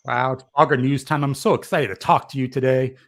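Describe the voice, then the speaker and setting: A man's voice: medium pitch, fairly even intonation, fairly quick, fairly bright tone. A man chatting casually as a live show host, with an enthusiastic, effusive way of talking.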